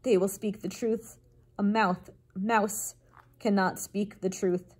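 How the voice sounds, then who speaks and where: A woman's voice: mid-range voice, fairly even intonation, slow pace, a little mellow, slightly rough. A stubborn, grieving king from a storybook played by a woman, full of bluster. He is imperious and dismissive, pushing out his words as one who will not be contradicted. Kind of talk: storybook character voice.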